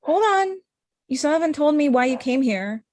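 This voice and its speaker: A woman's voice: high-pitched, animated, moderate pace, a little mellow, dry recording, clean studio sound. A young woman acting a blunt, hurt, confrontational ex-girlfriend in her thirties in a comedy-drama table read. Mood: hurt, indignant. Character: Amita, blunt, hurt ex-girlfriend, thirty-five, sharp-tongued lawyer.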